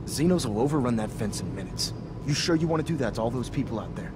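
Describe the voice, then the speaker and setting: An actor playing an urgent, forceful marine who questions orders in a video game. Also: male voice, medium pitch, animated, quick pace, loud music behind the voice, natural voice. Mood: alarmed. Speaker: soldier. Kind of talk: video game battle dialogue.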